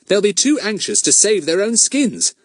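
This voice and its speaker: A man's voice: high-pitched, very animated, no echo, voice pushed up. A man reading a storybook voicing a bold, cheerful schoolboy who leads his friends. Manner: brisk, cheerful, polite to adults.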